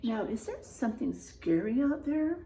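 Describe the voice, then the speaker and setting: A woman reading a picture book aloud to children, pausing to ask them questions. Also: a little high, big pitch swings, dark tone, roomy sound, slight background noise. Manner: slow, asks children questions. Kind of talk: children's storybook read-aloud.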